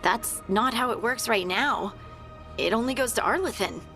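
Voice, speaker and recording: An actress playing an earnest scout companion in a video game, plain-spoken and matter-of-fact. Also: female voice, a little high, moderate pace, pushed and bright, faint music behind.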